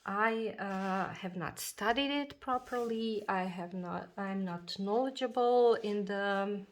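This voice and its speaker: A woman chatting casually about her journals and planners, talking slowly with many fillers and restarts, her voice a bit froggy from a cold. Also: mid-range voice. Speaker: journaling video creator. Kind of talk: casual chat showing a planner.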